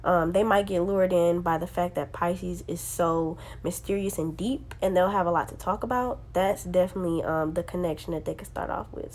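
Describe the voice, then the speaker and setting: A woman's voice: lowish voice, darkish tone. A young woman who sounds congested from a sinus infection, chatting casually to her viewers with lots of slang and "um" and "like". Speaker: astrology video host. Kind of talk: casual talk to camera.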